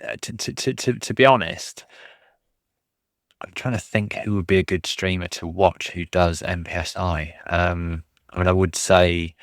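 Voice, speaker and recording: Casting: male fleet commander, very deep gravelly voice, loose rambling Q&A delivery, dry close mic. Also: a bit bright, dry recording. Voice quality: low voice. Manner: rambling, many ums and restarts, self-deprecating. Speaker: fleet commander answering questions. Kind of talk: casual livestream Q&A.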